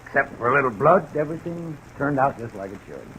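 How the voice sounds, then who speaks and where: A man's voice: mid-range voice, animated, fast talker, fairly bright tone, a little hiss or hum, natural voice, low-quality recording. An actor playing a smug, callous male crook in an old radio drama, brash and slangy. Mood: smug, breezy.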